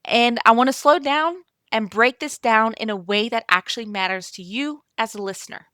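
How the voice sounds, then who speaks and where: A middle-aged woman, originally from Belgium, hosts a calm podcast with a bright, clear voice pitched a little high. She talks gently and encouragingly, addressing the listener directly. The close mic gives a dry, intimate sound with no room around it. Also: normal speed, dry recording. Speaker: podcast host and operations consultant. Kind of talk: calm scripted podcast monologue.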